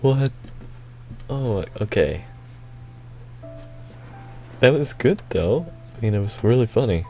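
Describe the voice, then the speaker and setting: A man's voice: fairly low pitch, expressive, slow, pauses often, dark tone, faint music behind. A young man hosting a gaming video with casual, informal, rambling commentary. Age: young adult. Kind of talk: casual let's play commentary.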